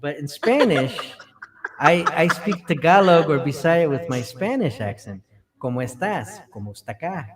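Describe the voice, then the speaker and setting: A man's voice: on the high side, lively intonation, moderate pace, a crowd in the background. A man co-hosting a livestream, chatting casually, laughing often and dropping in Spanish and Bisaya words. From United States. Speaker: livestream co-host.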